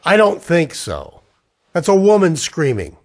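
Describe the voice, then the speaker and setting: A man's voice: fairly high pitch, wildly swooping pitch, a little slow, heavily acted. An actor playing a weary, cynical man in a scripted radio-style noir drama. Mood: tense, commanding. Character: weary, cynical, down-on-his-luck man narrating a noir tale. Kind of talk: scripted noir narration in the manner of old radio plays.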